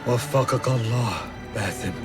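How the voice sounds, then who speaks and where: Male actor for loyal wounded brother-in-arms: effortful, earnest drama beneath a loud score. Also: medium pitch, fast, natural voice. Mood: solemn blessing. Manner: strained, earnest. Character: gravely wounded male assassin, devout and loyal. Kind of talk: dramatic video game cutscene acting. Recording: loud music over voice.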